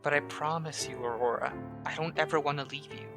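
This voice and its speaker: A performer playing a troubled, withdrawn but tender young male lover in an audio drama, halting and soft-spoken, with music behind. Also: medium pitch, fairly even intonation, lightly acted. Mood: tender, reassuring.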